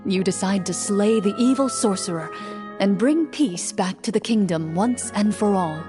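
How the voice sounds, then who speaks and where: A woman voicing a composed, honourable monster hunter and duelist in a game, speaking in a formal, measured, solemn way. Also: medium pitch, animated, over music, lightly acted. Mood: solemn narrating. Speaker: game voice actor. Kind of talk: scripted game drama and narration.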